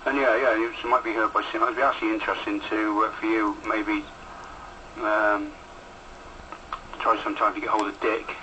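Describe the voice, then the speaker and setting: A man's voice: on the low side, flat delivery, moderate pace, long pauses, sharp tone, lo-fi sound. A man heard over a two-way radio, rambling matter-of-factly in casual amateur radio chat. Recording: noisy two-way radio transmission through a speaker.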